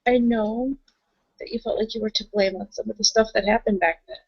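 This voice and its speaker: A woman's voice: fairly high pitch, steady tone, a little fast, husky. A woman roleplaying and narrating in a tabletop session, speaking casually and bluntly. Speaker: game master in a roleplaying session. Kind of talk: improvised in-character roleplay with narration. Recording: dull lo-fi recording.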